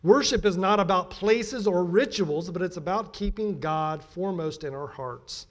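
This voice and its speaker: A middle-aged American man giving a sermon as a pastor, emphatic and deliberate in his delivery. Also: high voice. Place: church pulpit, indoors.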